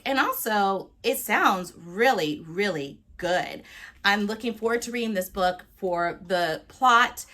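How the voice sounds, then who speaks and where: A woman talking casually to viewers as a book vlogger, with an expressive, playful and emphatic delivery. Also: fairly low pitch. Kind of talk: casual, chatty talk to viewers.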